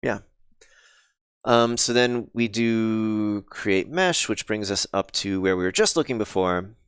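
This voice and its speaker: A man thinking aloud on a casual live coding stream, pausing with ums and chatting with viewers, his voice slightly scratchy and sniffly. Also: lowish voice, fairly bright tone, clear, resonant tone, no room sound, clean studio sound. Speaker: game developer streaming live.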